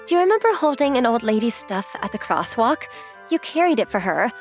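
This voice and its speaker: Casting: a woman plays a girlish young manga-dub heroine, high-pitched and lively, relaxed, affectionate and playfully teasing. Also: fairly quick, faint music behind. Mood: earnest. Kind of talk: acted anime-style dialogue.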